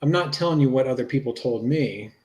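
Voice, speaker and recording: A man's voice: mid-range voice, fairly quick, a little mellow, natural voice. A man reading the part of a reassuring, plain-spoken investigator in a conversational dramatic reading of a police interview.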